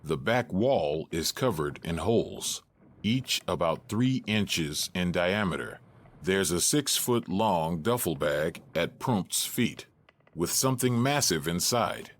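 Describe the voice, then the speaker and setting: Male narrator, deep voice, very slow delivery, scripted stage directions, audio drama. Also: lively intonation.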